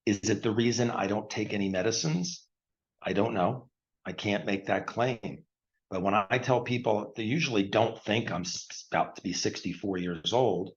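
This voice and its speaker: A man in his sixties, a retired surgeon, explaining a health product in plain, repetitive terms and clearing his throat often. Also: lowish voice, moderate pace. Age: 60s. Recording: dull lo-fi recording.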